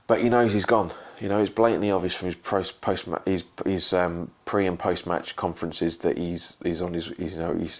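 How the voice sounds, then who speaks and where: A man with a slightly croaky voice giving a rambling, casual post-match reaction as a football fan vlogger. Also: deep voice. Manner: rambling, full of ums and restarts. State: slightly croaky from shouting and drinks.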